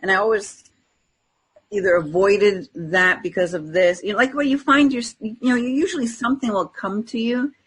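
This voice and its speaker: A woman with a strongly husky voice at a medium pitch speaks in an unscripted, conversational way. She sounds like a reflective spiritual teacher on a talk show, often acting out little bits of inner self-talk. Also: normal speed, fairly bright tone, poor audio.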